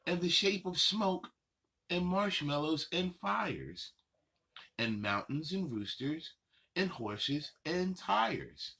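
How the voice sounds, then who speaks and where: Husky man reading slowly to kids. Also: on the high side, very animated, pushed and bright. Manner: drawn-out, playful phrasing addressed to kids. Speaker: host reading children's picture books aloud. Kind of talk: children's story time read-aloud with chatty asides.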